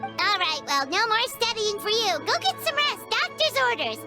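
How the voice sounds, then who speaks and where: A woman's voice: very high-pitched, sing-song, moderate pace. A woman voicing a tiny, chirpy, cheeky childlike fairy companion in a video game. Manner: chirpy, childlike, talks of self in third person. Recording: studio voice over game music.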